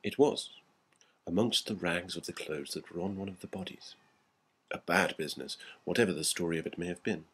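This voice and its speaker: A man reads as a country gentleman hosting a guest in a ghost story. His voice is very low and husky. He talks in a grave, matter-of-fact, conversational way about grim things. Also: a little fast.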